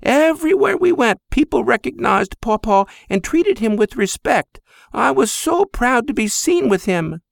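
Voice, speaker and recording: A man's voice: very high-pitched, very animated, measured pace, creaky, dry recording, voice pushed up, full character voice. A man voicing an older Greek immigrant mother who scolds in broken English. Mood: reminiscing.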